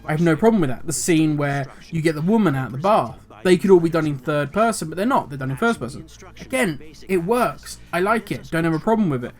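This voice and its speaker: A man's voice: on the high side, animated, fast talker, faint music behind. An older man hosting a gaming channel, talking through his views in a rambling, self-correcting, somewhat cynical way. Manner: cynical, grumbling. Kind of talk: casual commentary video.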